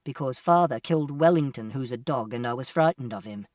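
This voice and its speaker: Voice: mid-range voice, brisk, darkish tone, no echo, distant mic, close to an everyday voice. A narrator as a literal, anxious boy, dry sound. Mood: anxious, flat. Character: literal-minded, anxious, flatly honest boy. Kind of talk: first-person audiobook narration.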